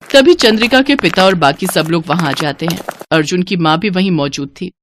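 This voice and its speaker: A woman's voice: lowish voice, brisk, background noise. A woman narrating a scripted story as a storyteller.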